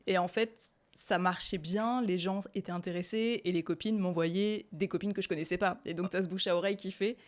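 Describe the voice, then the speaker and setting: A woman's voice: on the low side, a little fast. Chatty young French woman. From Italian descent, raised in France. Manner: chatty, relaxed, laughs easily, many fillers. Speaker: guest, tarot reader and illustrator. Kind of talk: casual interview conversation.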